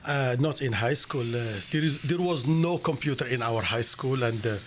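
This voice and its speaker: A middle-aged man with a Moroccan accent, the guest on a local interview show, patiently piecing explanations together haltingly over some background noise. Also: medium pitch. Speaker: interview guest, computer science instructor.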